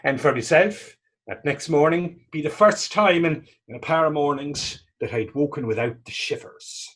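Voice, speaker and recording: A man playing a battered, pious and self-mocking fisherman in readers theater, telling his story in halting, folksy dialect. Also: on the high side, fairly bright tone. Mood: wry. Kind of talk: readers theater dramatic dialogue.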